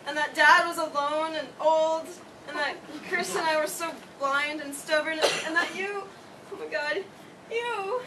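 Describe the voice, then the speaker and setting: A distant, noisy stage recording carries a high-pitched young woman played by an actress. She is an earnest, emotional college student in a family play, pleading with her mother. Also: a little slow. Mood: frantic, overwhelmed.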